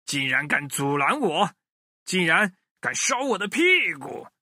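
A man voicing a hot-tempered, greedy bald egg thief in a children's story, loud, boastful and threatening. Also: fairly high pitch, sing-song, a little slow, slightly rough, dry recording, studio quality, full character voice. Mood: furious.

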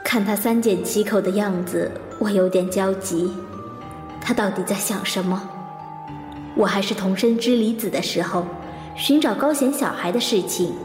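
An actress plays a woman investigator in a reverberant radio drama, with music underneath. She is composed and probing, and her delivery is measured and introspective, in a mid-pitched voice. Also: a little mellow, natural voice. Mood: brooding. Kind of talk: audio drama narration and dialogue. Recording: echoey long reverb, music behind.

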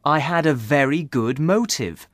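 An earnest, relieved young man, as a narrator puts him on, his voice raised high above the reader's own and full of feeling. He speaks slowly and deliberately, and the recording is dry and close. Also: expressive, a bit bright, no echo. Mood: grateful. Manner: earnest, emotional thanks. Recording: dry close studio sound.

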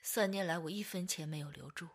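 A woman's voice: lowish voice, a little fast, close to an everyday voice. A woman acting in a Chinese audio drama as a tender, wounded young woman confessing a past love. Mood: wistful narrating.

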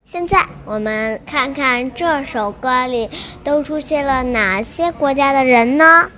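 High-voiced woman slowly teaching learners. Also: sharp tone, a little hiss or hum. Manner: slow, careful, repeats phrases for learners. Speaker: co-host translating into Chinese. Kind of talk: bilingual language-lesson speech.

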